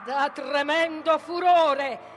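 A woman playing a vengeful, tormented mythical sorceress in a declamatory theatrical monologue, incantatory and swinging between hushed and loud. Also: high voice, steady tone, slow. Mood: furious, exalted. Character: Medea, vengeful, savage, tormented sorceress of Greek myth. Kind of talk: theatrical dramatic monologue, stage reading.

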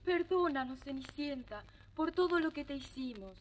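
A woman's voice: high-pitched, expressive, moderate pace, slight background noise. A woman playing an envious stepsister in a theatrical children's fairy tale. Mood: pleading. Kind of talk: theatrical children's story record acting.

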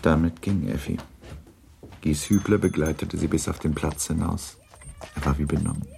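An actor as the sober, restrained narrator of a period novel in a radio drama, measured, grave and understated. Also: male voice, extremely low pitch, steady tone, vocal fry, a little mellow, a little hiss or hum, heavily acted.

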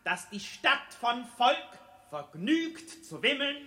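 A pompous magistrate in a radio play. The voice is extremely high, sharp and bright. He draws out every official phrase slowly. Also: sing-song, heavily acted. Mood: pompous decreeing. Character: pompous magistrate issuing decrees of forced cheer. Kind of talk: theatrical radio play proclamation.

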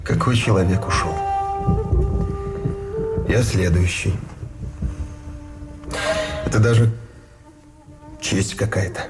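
An actor playing a frightened, gentle village teacher turned soldier in a radio drama, speaking haltingly and plaintively. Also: male voice, on the low side. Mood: brooding. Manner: halting, plaintive, repeats phrases. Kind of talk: Russian radio drama acting. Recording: music behind the voice.

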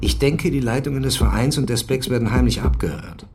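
A man playing a controlled, probing police commissioner in a radio drama, measured, formal and authoritative. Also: mid-range voice, loud music behind the voice, natural voice. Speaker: lead actor in a crime radio drama.

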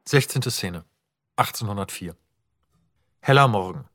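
A man's voice: lowish voice, lively intonation, a little slow, fairly bright tone, no room sound, clean studio sound. A man narrating a radio drama, reading stage directions in a precise, literary, matter-of-fact manner.

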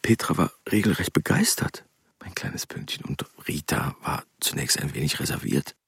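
Gravelly middle-aged father, hugely animated. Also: rumbling low, moderate pace, no room sound, in a whisper, clean studio sound, full character voice. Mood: pleased, persuasive. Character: smitten middle-aged German father, secretive amateur photographer. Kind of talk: radio drama acting.

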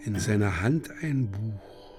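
An actor playing a solemn narrator who reads dreamlike stage directions in a slow, deliberate, measured way for a literary radio drama. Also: male voice, fairly low pitch, expressive, music in the background. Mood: hushed, solemn.

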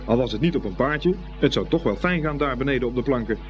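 A man's voice: medium pitch, animated, quick pace, over music. Male narrator of a children's story. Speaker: narrator of a radio play.

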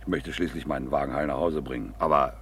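A man's voice: a bass voice, fairly even intonation, fast talker, a little hiss or hum. An actor playing a boastful, hot-tempered middle-aged businessman in a radio crime drama, domineering and self-righteous. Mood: irritated, placating.

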